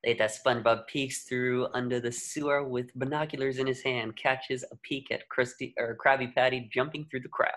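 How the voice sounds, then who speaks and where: A man's voice: mid-range voice, fairly even intonation, moderate pace, hardly any pauses, fairly bright tone. A man narrating the action from a script at a table reading, as scripted stage directions.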